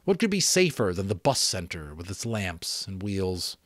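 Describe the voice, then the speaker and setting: Male audiobook narrator: dramatic, expressive, widely varied intonation, close dry sound. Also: deep voice, a little slow, no room sound. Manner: expressive storytelling, occasional stumbles. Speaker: audiobook reader.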